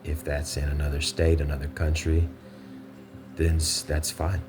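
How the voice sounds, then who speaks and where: A man leading a guided meditation, giving measured instructions with pauses between phrases. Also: extremely low pitch, little pitch movement, music in the background.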